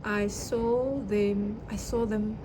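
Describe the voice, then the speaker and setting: A woman's voice: mid-range voice, flat delivery, slow pace. A young woman, a native Chinese speaker, talking through a personal reflective monologue with many fillers and restarts. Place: outdoors by the sea. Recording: noisy outdoor ambience.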